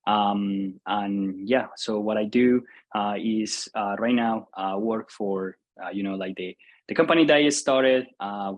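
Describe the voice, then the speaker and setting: Colombian-accented man in his thirties, Spanish native, lowish voice, halting and filler-heavy interview guest. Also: lo-fi sound. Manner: hesitant, many fillers and restarts. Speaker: guest, software entrepreneur. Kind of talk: casual interview answer.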